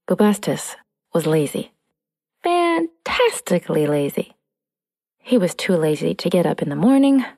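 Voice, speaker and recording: A woman narrating a scripted children's story in a playful, expressive, unhurried storytelling manner. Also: medium pitch, no room sound, studio quality, long reverb tail.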